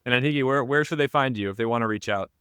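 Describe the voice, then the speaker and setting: A man's voice: mid-range voice, racing, fairly bright tone, clear, resonant tone, no echo, studio quality. A man hosting a podcast, chatting casually and joking with his guest. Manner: friendly, joking. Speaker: podcast host. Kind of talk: casual interview chat.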